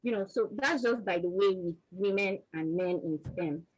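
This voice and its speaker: A woman's voice: medium pitch, normal speed, slightly rough, rough recording. A woman in her thirties with a Nigerian accent, speaking as a panelist on a video call. She talks earnestly and emphatically and repeats words as she builds each point. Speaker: panelist, vaccine research scientist. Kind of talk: motivational panel answer.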